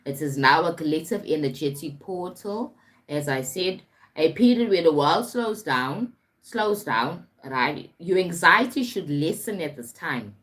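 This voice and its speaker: A woman's voice: low-pitched, lively intonation, a little slow, a bit bright. A woman with a South African accent giving an informal motivational livestream talk, emphatic, repeating phrases and often checking in with the listener. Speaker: life coach and motivational speaker livestreaming.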